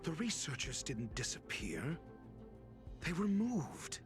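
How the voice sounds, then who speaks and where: An actor playing a composed detective in a video game cutscene, articulate and deliberate as he reasons out a mystery. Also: fairly high pitch, very animated. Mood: grave, emphatic. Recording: music behind the voice. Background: background music.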